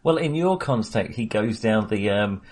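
A man co-hosting a podcast, chatting about a film in a wry, animated way. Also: lowish voice, normal speed. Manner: chatty, wry, animated when making a point. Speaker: podcast co-host. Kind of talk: casual film discussion.

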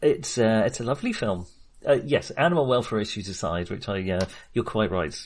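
A man's voice: lowish voice, brisk, a little mellow. A man co-hosting a casual film discussion, enthusiastic and rambling, often interrupting himself as he goes. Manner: sweary. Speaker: film discussion podcast host.

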